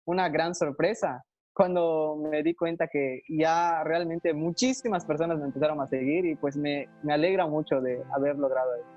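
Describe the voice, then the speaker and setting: A young man from Yucatán, a Maya speaker, answering interview questions in a relaxed, friendly way with many fillers. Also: on the high side, normal speed, soft background music. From Yucatán, Mexico. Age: young adult. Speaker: interviewee, Maya language teacher. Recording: dull lo-fi sound.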